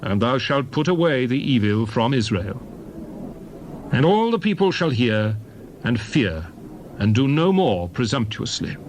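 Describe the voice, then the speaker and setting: A solemn male prophet, acted by a man, proclaims sacred law in a dramatized Bible reading. His phrasing is deliberate and declamatory, with lively rises and falls in pitch. A light layer of noise sits behind the voice. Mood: solemn, commanding.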